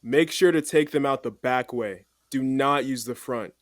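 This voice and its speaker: Guy acting blunt anxious business partner, audio drama, medium pitch, close dry recording. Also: moderate pace, dry recording, natural voice. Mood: urgent, practical.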